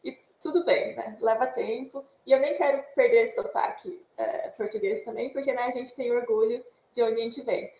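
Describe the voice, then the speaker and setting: A woman's voice: fairly high pitch, moderate pace, hardly any pauses, a little mellow. Easygoing Brazilian woman on an echoey call. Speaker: interview guest. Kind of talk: casual interview chat.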